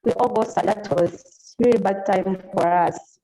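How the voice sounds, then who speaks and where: A woman sharing a personal recollection with a group on a video call, in halting non-native English. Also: medium pitch, mellow, low-quality recording. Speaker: training participant.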